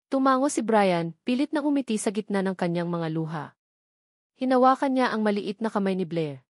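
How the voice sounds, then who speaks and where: A woman's voice: mid-range voice, animated, normal speed, darkish tone, no room sound, clean studio sound. Filipino woman narrating a romance drama. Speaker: story narrator.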